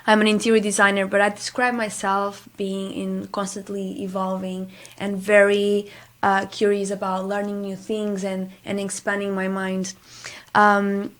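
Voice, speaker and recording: A woman from Portugal with a Portuguese accent, talking as an interview guest in a halting, conversational way. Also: mid-range voice, monotone, fairly bright tone. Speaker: radio guest, interior designer.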